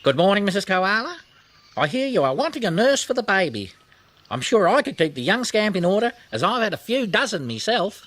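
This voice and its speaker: Man doing a bossy high-voiced mother magpie. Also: sing-song, a little fast, fairly bright tone, no room sound, exaggerated voice. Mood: brisk. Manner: pert, self-assured. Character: brisk, bossy, easily cross mother magpie.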